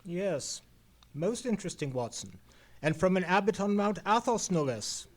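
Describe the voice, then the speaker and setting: A man's voice: sits high, big pitch swings, normal speed. An actor playing an erudite, world-weary male detective in an old-time radio play, with a pedantic and eloquent manner. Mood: pedantic, absorbed. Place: live performance in a theatre.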